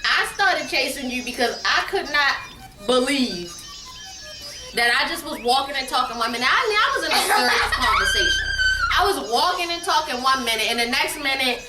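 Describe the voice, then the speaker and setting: A woman's voice: high-pitched, huge pitch swings, normal speed. A woman telling a casual comedic family story in an exaggerated way, repeating phrases for emphasis. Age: adult. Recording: roomy, distant mic, music behind. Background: background music.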